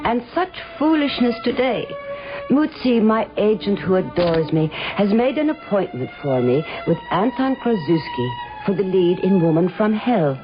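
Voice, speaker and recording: A woman's voice: medium pitch, very animated, unhurried. A woman playing an insolent, teasing young actress, ambitious yet wary, in a radio drama. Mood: confiding. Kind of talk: radio drama acting, with diary narration. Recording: muffled old radio drama, faint music behind.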